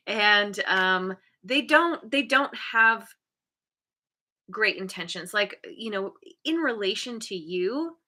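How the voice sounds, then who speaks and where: A woman reads tarot cards in a slow, meandering way, stopping often to search for words. Her voice is bright and forward. She is chatty and laughs at herself from time to time. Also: on the high side. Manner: hesitant, many fillers and restarts. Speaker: tarot reader. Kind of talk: casual tarot reading.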